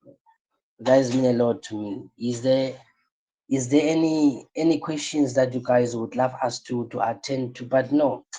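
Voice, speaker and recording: A man's voice: mid-range voice, unhurried, warm tone, low-quality recording. A tired man, coaching a live online class and repeating his points with rhetorical questions. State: tired, voice strained.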